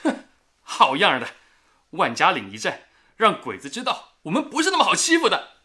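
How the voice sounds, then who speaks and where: An actor in a radio drama playing a fiery, emphatic patriotic radio worker in wartime. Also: male voice, sits high, wildly swooping pitch, normal speed, pushed and bright, husky, heavily acted. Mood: defiant. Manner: emphatic, indignant.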